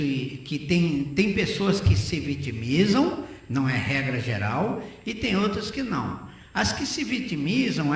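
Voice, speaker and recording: An older man speaks in a high-pitched, gravelly voice. He talks conversationally on the radio, stressing his points by repeating phrases. The sound is heavily reverberant, as in a very echoey room. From Northeast Brazil. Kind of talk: opinionated conversational radio talk.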